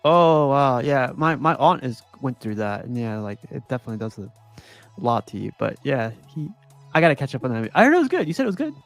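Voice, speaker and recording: A man's voice: medium pitch, very animated, brisk, vocal fry, faint music behind. A man hosting a casual livestream chat, laid-back and rambling, laughing often. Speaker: livestream host.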